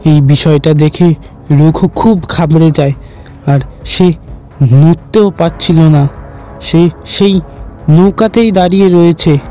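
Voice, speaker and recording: A man's voice: a little high, darkish tone. A man narrating a horror cartoon story in a dramatic style.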